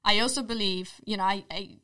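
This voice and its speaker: A woman with a Polish accent speaks in a measured, thoughtful way, often pausing on fillers and restarting her thoughts. Her voice sits at an ordinary middle pitch for a woman. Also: bright, forceful tone. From Poland. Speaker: guest, social psychologist. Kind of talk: casual conversation.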